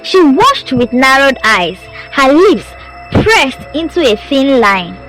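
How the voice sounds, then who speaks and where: A woman's voice: high-pitched, sing-song, slow, fairly bright tone. A woman narrating a scripted folktale as a storyteller, with a dramatic, suspenseful delivery. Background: music under the voice.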